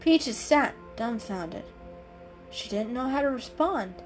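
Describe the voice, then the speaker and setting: A woman's voice: fairly high pitch, lively intonation, slow pace. A woman narrator giving a dramatic storybook reading. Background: music.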